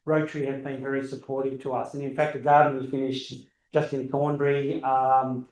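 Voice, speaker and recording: A middle-aged man with a booming voice, talking loosely to a club as guest speaker and often correcting himself. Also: flat delivery, fairly quick, darkish tone. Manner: loose, rambling, self-correcting. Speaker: guest speaker, landscape architect. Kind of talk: informal talk to a club. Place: meeting room. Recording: roomy echo, distant camera.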